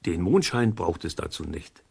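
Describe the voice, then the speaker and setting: A man's voice: sits low, sing-song, normal speed, creaky, darkish tone, slightly rough, exaggerated voice. A man narrating an educational audio play for children, explaining things simply and conversationally with playful comparisons. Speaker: host and storyteller.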